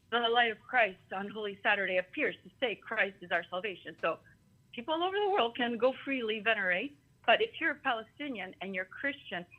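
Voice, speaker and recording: A woman's voice: on the high side, no room sound. A talkative woman from Greece giving informal personal testimony as a speaker, emphatic and given to digressions. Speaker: symposium speaker. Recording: thin phone-like call audio.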